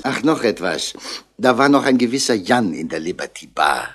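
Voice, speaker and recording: An actor playing a middle-aged, dry-witted police commissioner in a radio crime drama, asking terse, probing questions in a husky voice. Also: male voice, lowish voice, very animated, normal speed, a bit bright, exaggerated voice. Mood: brisk, dry. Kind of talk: 1960s German radio crime drama acting.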